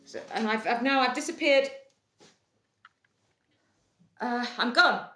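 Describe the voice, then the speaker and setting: A bumbling fairy godmother in a comic panto. She talks briskly and officiously in a slightly high voice, in a roomy video-call sound with faint music behind. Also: pushed and bright. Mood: flustered. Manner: matter-of-fact, bumbling. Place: wood-panelled living room, video call.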